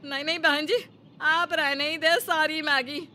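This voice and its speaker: A woman's voice: high-pitched, steady tone, measured pace, bright, forceful tone, a little hiss or hum, voice pushed up. A woman voicing a suspicious, sarcastic middle-aged mother-in-law in a cartoon story, dramatic and grumbling. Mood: disdainful.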